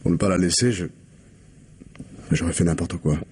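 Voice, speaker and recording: An actor playing a weary, remorseful husband who confesses haltingly in a French radio crime drama. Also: male voice, low voice, steady tone, darkish tone, lightly acted. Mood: shaken, confessing. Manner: halting, hesitant confession with repeated words. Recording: hissy old radio drama recording.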